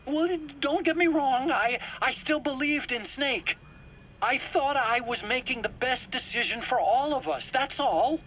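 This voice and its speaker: A man's voice: extremely high pitch, unhurried, dull audio, a little hiss or hum. An actor playing a frightened, defensive male scientist under interrogation, whiny and pleading, in a dramatic video game.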